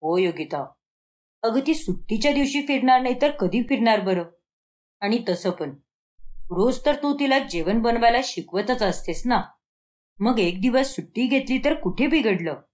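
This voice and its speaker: A woman's voice: a little high, expressive, moderate pace, voice pushed down, lightly acted. A woman voicing a mild, indulgent husband and father in a cartoon moral story, reasoning and placating.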